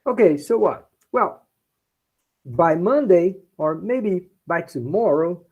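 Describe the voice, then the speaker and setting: A Brazilian professor with a Brazilian Portuguese accent, teaching a class in English, often checking in with "okay?" and repeating points in Portuguese. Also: male voice, high voice, animated, takes it slowly, a little mellow, breathy edge, lo-fi sound. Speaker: university professor. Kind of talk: bilingual class lecture.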